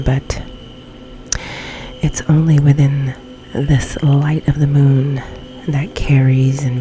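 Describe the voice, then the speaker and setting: A woman leading a guided meditation in a soft, breathy, often near-whispered ASMR delivery, with long pauses between phrases. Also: deep voice, rough recording. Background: background music.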